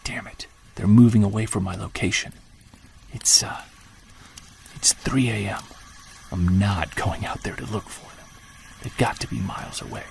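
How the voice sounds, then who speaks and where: An actor playing an earnest, excitable Bigfoot researcher, musing to himself as he records a field log in an audio drama. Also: fairly low pitch, normal speed, creaky, pauses often, in a whisper. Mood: hushed awe. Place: outdoors in wilderness sound setting, handheld recorder.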